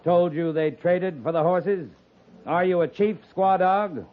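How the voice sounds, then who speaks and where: Muffled, stern cavalry captain. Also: male voice, high-pitched, measured pace. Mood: stern, challenging. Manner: commanding, clipped, sternly challenging. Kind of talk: 1950s radio western acting. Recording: muffled lo-fi 1950s radio recording.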